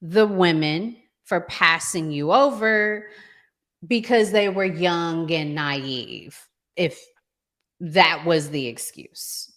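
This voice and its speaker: A woman hosting a relationship discussion in a conversational, deliberate way, pausing in the middle of her phrases. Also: fairly low pitch, a bit bright. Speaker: podcast host.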